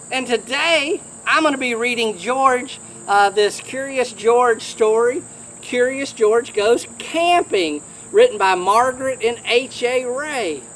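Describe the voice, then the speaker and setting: A man's voice: extremely high pitch, animated, slow, slight background noise, exaggerated voice. A man playing a cheerful, folksy, friendly camper in a children's storybook role-play, chatty and exclamatory. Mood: cheerful greeting. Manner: chirpy, exclamatory, gently chatty. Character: cheerful folksy friendly man in the yellow hat, camping companion.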